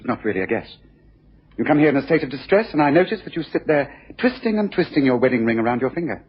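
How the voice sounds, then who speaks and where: Brisk, animated detective, shrewd and formally precise, for muffled radio drama. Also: male voice, medium pitch, husky. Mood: confident, probing.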